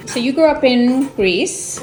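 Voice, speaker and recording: A woman's voice: sits high, expressive, unhurried. A woman in her thirties hosting a cooking segment, eager and chatty, with frequent ums. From England, UK. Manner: enthusiastic, many fillers like um. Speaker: host, doctor. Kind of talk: upbeat cooking show hosting. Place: home kitchen. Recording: echoey kitchen, far from mic, faint music.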